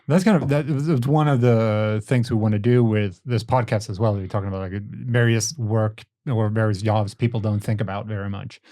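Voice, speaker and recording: A man's voice: fairly low pitch, fairly even intonation, normal speed, few pauses, mellow. Podcast host guy, chill and chatty, kinda trails off. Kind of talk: casual interview chat.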